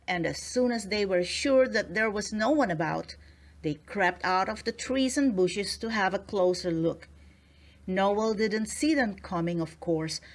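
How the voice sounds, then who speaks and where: A woman narrating a children's storybook in a scripted read-aloud style. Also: mid-range voice.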